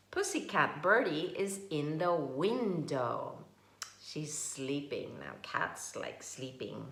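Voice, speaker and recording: A woman reading a picture book aloud to young children, slow and expressive, stopping to ask questions. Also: deep voice. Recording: echoey room.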